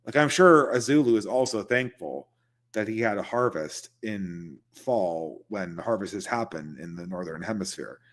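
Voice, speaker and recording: A man's voice: on the low side, expressive, unhurried. A man hosting a casual livestream, answering viewer questions in loose, conversational talk and chuckling between points.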